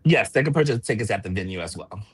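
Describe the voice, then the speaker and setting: A man's voice: lowish voice, animated, fast talker, breathy edge, dry recording, studio quality. A man who produces stage plays, speaking casually as an interview guest to promote his work.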